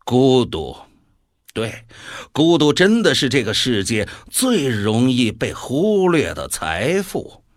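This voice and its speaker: A slow, theatrical, world-weary devil. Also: male voice, mid-range voice, huge pitch swings, dry recording, studio quality. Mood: musing, menacing. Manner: deliberate, theatrical musing with sighs. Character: sardonic devil, rational inner voice, sighing and world-weary. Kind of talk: audio drama acting.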